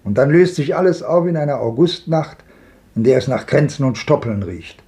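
A man plays an ironic old gentleman in a 1950s radio play who declaims his thoughts about the world. His voice is mellow, mid-pitched and warm. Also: expressive, moderate pace, lightly acted. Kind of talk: 1950s radio drama acting.

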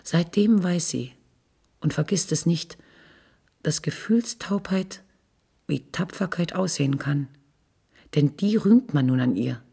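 A woman giving a measured, sober reading of literary prose in a husky, breathy voice, as a radio narrator. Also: sits low, lively intonation, warm tone.

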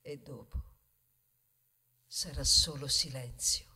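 A man's voice: medium pitch, fairly even intonation, takes it slowly, sharp tone. A man giving a theatrical narration. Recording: clear music behind voice.

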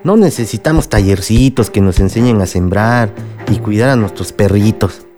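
A scheming small-town Mexican mayor, played by a man in a radio soap opera. His voice is mellow and dark, his manner insinuating and condescending, with faint music behind him. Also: lowish voice, moderate pace, natural voice. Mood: condescending menace. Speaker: radio drama actor.

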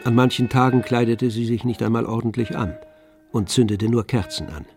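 A man narrating a literary radio play in a measured, composed storytelling manner. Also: mid-range voice, fairly even intonation, a little mellow, faint music behind.

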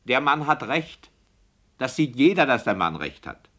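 A man's voice: medium pitch, sing-song, unhurried, bright, forceful tone, full character voice. An actor playing a man who questions the story and objects to the injustice. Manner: inquisitive, indignant. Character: male listener questioning the story. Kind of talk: radio drama acting.

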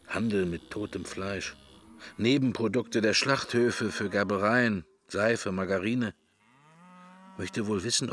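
A man's voice: fairly low pitch, a little hiss or hum. An actor playing a musing, wry middle-aged man in a German radio drama, speaking his thoughts in clipped, understated fragments. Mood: calculating, musing.

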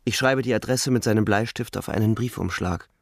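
An actor playing a weary, haunted young German soldier who narrates his war in a radio play, with a gravelly voice. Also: lowish voice, fairly quick, no echo, studio quality. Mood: haunted, grieving. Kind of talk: literary radio play narration in first person. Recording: music under the voice.

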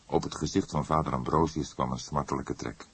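An extremely deep-voiced man narrates a detective novel. His voice has a husky grain and sits in a dry, close acoustic. The reading is measured and literary. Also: steady tone, no room sound. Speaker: audiobook narrator. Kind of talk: scripted detective story narration.

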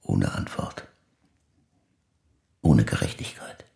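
An actor playing a grieving older man who speaks reflectively and slowly in a measured, pensive audio drama monologue. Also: mellow, lightly acted. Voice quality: very deep, gravelly, breathy at phrase ends. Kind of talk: slow literary dramatic monologue.

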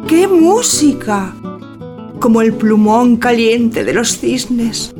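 High-voiced, languid, sensual young bride. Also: female voice, takes it slowly. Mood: sensual, rapturous. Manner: languid, ardent, declamatory. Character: sensual, haughty young bride, burning with desire. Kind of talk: poetic theatrical audio drama. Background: plucked guitar music.

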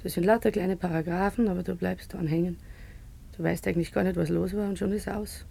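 A woman's voice: fairly low pitch, fairly even intonation, brisk, gravelly, warm tone, breathy edge, heavily acted. A woman playing a worldly, chatty, streetwise character in a radio drama, confiding. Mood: confiding, nosy. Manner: confiding, colloquial, matter-of-fact. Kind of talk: naturalistic radio drama acting.

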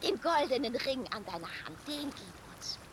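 An actress playing an alluring, ominous river nymph in a fantasy radio play, with a hushed, foreboding delivery. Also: female voice, high-pitched, very animated, moderate pace, a little hiss or hum. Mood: teasing. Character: female Rhine water nymph, alluring and ominous, prophesying doom. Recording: music behind the voice.

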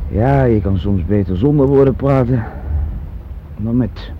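An actor playing an easygoing, soothing husband in a Dutch radio play, speaking hesitantly. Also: male voice, medium pitch, close to an everyday voice. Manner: hesitant, halting, chuckling between phrases. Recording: tinny, noisy old radio recording.

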